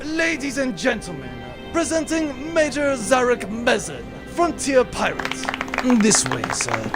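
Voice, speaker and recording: A man's voice: very high-pitched, big pitch swings, a little slow, music in the background, full character voice. A man voicing a stiff, overly polite male steward on a luxury cruise ship in a comedy audio drama.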